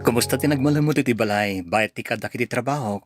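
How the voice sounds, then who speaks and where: A man with an Ilocano accent playing a concerned, gentle young husband in a radio drama, asking careful questions. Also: mid-range voice, fast, natural voice. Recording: dry studio sound over music bed.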